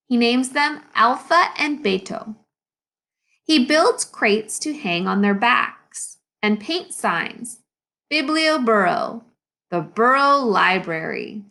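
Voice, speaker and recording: A woman with a fairly high voice reads a picture book to young pupils as their teacher. Her pitch moves up and down in a very lively way. She takes her time and stops to explain or question in an instructive manner. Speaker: elementary school teacher.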